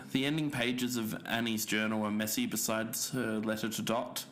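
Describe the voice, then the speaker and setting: A man's voice: fairly low pitch, fairly even intonation, moderate pace, fairly bright tone, lightly acted. A man playing a sober, methodical podcast investigator, explaining evidence carefully and with some hesitation. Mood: pensive. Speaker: podcast co-host. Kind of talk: scripted fiction-podcast narration.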